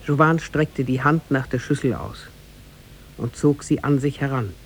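A man narrating a tale in a scripted, measured and formal 1950s radio drama style. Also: mid-range voice, fairly even intonation. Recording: noisy 1950s radio recording.

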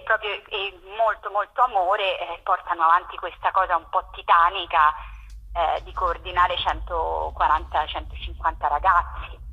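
A woman's voice: medium pitch, pushed and bright, husky, rough recording. A woman explains things informally as a radio interview guest, searching for her words as she talks over the telephone. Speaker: interview guest, theatre project organiser.

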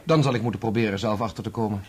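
An actor playing an indignant young conductor in a radio play, speaking in an insistent, combative, clipped way. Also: male voice, on the low side, racing, darkish tone, slightly rough. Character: indignant young conductor defending his dead father's name. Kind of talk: Dutch radio play acting.

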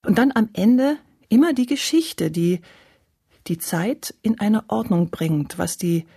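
An actress playing a reflective voice with halting phrasing in a poetic radio play. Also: female voice, mid-range voice, expressive, gravelly, warm tone, breathy edge, no echo, studio quality, full character voice. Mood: pensive. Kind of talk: poetic radio drama recitation.

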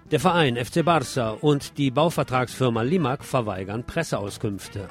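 A man presenting scripted news in German, reading emphatically with the occasional wry aside. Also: mid-range voice.

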